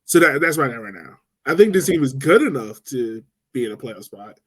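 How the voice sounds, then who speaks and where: Halting man hosting a sports podcast. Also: mid-range voice, animated, a bit bright, husky. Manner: halting, repeats words while thinking. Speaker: podcast host. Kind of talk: casual sports chat.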